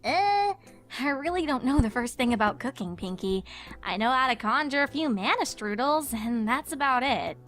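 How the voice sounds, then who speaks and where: A woman voicing a studious, sincere young female unicorn in a cartoon fan fiction reading, earnest and articulate with a slightly gruff, sceptical streak. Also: high-pitched, expressive, moderate pace, fairly bright tone. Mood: doubtful.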